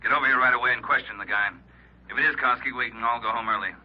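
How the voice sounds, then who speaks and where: A man acting a brisk, authoritative police chief in an old radio crime drama. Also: medium pitch, fairly even intonation, lightly acted. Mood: brisk, commanding. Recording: dull, noisy old radio recording.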